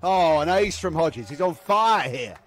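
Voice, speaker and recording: Male beach volleyball commentator, slightly high register, clipped play-by-play that builds on big points. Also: expressive, measured pace. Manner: clipped phrases, swelling to shouts on big points. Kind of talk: live sports play-by-play commentary.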